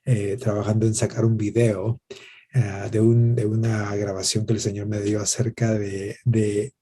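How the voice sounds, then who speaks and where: Guy in his 60s, mellow kinda husky voice, gracious interview answers, pauses a lot. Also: lowish voice, little pitch movement. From Guatemala. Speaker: interview guest, pastor and worship musician.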